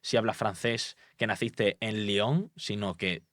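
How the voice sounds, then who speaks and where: A man's voice: on the low side, steady tone, measured pace, a bit bright, no echo, studio quality. A young man from Málaga with a light Andalusian accent, speaking as an emphatic, confident guest in a podcast interview. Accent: light Andalusian Spanish. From Málaga, Spain. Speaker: public speaking expert guest.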